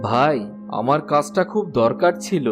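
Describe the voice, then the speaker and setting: A man's voice: a little high, expressive, normal speed, music in the background, lightly acted. A man playing a humbled pleading neighbor. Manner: pleading, rueful. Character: humbled male former rich neighbor begging for work.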